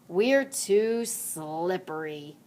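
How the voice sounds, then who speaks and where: A woman voicing an excited, playful young boy in a children's storybook, with eager exclamations. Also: fairly low pitch, very slow. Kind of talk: children's storybook character reading.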